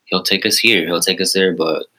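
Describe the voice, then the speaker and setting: A man with a low voice, a podcast guest talking earnestly and making an effort to speak up. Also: steady tone, normal speed, bright, forceful tone. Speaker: guest, ministry co-founder. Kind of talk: casual podcast conversation.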